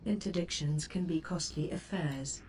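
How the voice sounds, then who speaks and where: A woman's voice: deep voice, a little mellow, lightly acted. A woman voicing a composed spaceship computer assistant in a game, speaking formally and precisely in scripted advisory lines. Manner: formal, measured, precise phrasing. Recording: slightly distant, noisy game-stream capture.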